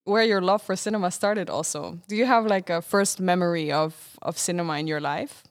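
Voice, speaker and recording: A woman host with a slightly low voice who talks in a calm, reflective way. She thinks aloud as she lays out her questions in an easy conversation. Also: normal speed. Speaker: interview host and filmmaker. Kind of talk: casual conversation.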